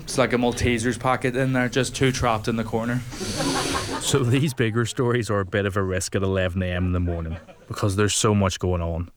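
A young man with a lowish voice tells a stand-up story to a live audience. His asides are dry and self-deprecating. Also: steady tone, fairly quick, crowd noise. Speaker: stand-up comedian. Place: comedy venue with live audience.

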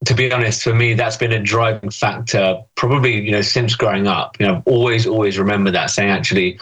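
A young man speaks in a low voice, pausing on fillers and restarts as he reflects. He often poses questions to himself and answers them. It sounds like a relaxed, conversational interview. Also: rough recording. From England. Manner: thoughtful, many fillers and restarts, asks himself rhetorical questions. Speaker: interview guest.

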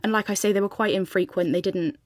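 A woman's voice: medium pitch, steady tone, quick pace, darkish tone, no echo, clean studio sound. A young woman answering interview questions in a reflective way, with hedging fillers. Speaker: guest.

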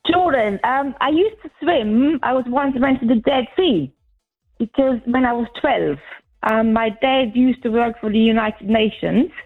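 Austrian-born lady, 60, german first language, tinny phone line, bit higher voice. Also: unhurried, faint music behind. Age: 60s. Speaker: caller. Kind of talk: phone-in chat.

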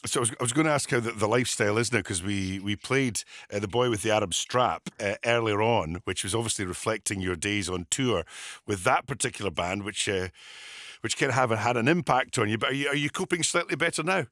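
A man's voice: lowish voice, a little fast, a bit bright, no room sound. A man presenting live radio, chatty and upbeat, bantering with a colleague and a guest.

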